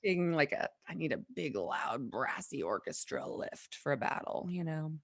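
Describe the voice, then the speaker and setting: A woman's voice: sits low, sing-song, normal speed, creaky. A woman co-hosting a talk show, chatting casually and enthusiastically. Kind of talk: casual chat.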